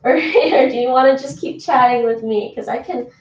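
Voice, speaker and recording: A chatty woman from Toronto livestreams from her home kitchen, her fairly high voice answering chat between easy laughs. She sits back from the mic, and the room sounds echoey around her. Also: brisk, darkish tone, far from the mic, muffled recording. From Toronto, Canada. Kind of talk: casual livestream chat while cooking.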